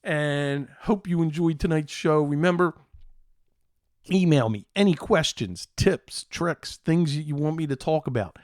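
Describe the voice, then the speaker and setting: A middle-aged man hosting a tech show, chatting casually to viewers in a rambling, halting, enthusiastic way. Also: on the high side, a little mellow, no echo, clean studio sound. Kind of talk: casual solo chat to viewers.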